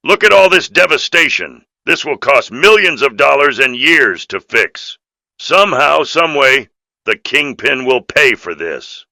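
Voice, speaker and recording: A man's voice: mid-range voice, big pitch swings, measured pace, gravelly, pushed and bright, no echo, heavily acted. A man voicing an earnest, dutiful police officer in video game dialogue, firm and official in manner. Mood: grave.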